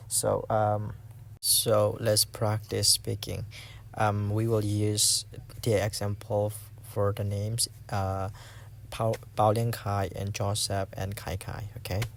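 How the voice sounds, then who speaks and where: A man teaching a language lesson in a casual, patient way, slowly repeating phrases for learners to practice. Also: fairly low pitch, flat delivery, vocal fry, slight background noise.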